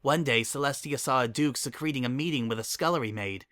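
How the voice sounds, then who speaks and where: Male narrator for a dramatic story reading: bright, forward tone, very dry recording. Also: mid-range voice, fast talker, dry recording.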